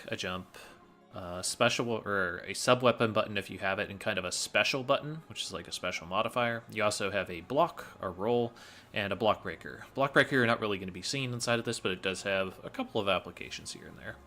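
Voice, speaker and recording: A man talks casually through a video game run, explaining as he plays. His voice is bright and sits a little low. Faint game music plays behind him. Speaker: speedrunner commentating on own game run. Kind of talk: casual explanatory gameplay commentary. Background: fighting game music.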